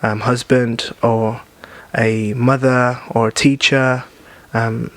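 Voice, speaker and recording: A man's voice: mid-range voice, flat delivery, takes it slowly, a bit bright, dry recording. A young man from Nigeria chatting as a podcast guest, easygoing, with many ums and restarts. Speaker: guest singer. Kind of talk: casual podcast chat.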